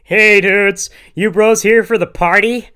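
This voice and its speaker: A man's voice: sits high, fairly even intonation, a little slow, bright, forceful tone, smooth, voice pushed up. A man voicing a big, jolly American party bro with a rowdy, slangy way of talking.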